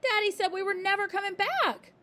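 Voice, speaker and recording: Woman reading a book, doing a clever little girl, high and breathless, begging fast, swoops all over, faint hiss. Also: sing-song, fairly bright tone. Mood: distressed. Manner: breathless, pleading, urgent. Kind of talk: storybook reading.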